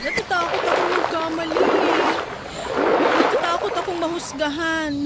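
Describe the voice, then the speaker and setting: A woman's voice: very high voice, fairly even intonation, normal speed, very noisy. A woman playing an anguished, hesitant older sister torn by love and guilt in a Tagalog radio drama. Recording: loud music under the voice.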